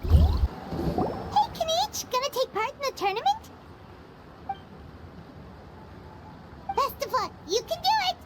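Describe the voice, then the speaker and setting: A woman voicing a tiny, excitable, chirpy fairy companion in an anime video game. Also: very high voice, moderate pace, very noisy. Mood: eager. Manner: excitable, speaks of herself in third person.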